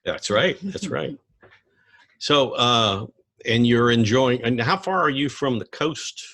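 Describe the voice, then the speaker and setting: An older man hosting a casual interview, chatty and quick with short affirmations. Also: medium pitch, animated, fairly bright tone. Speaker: interview host.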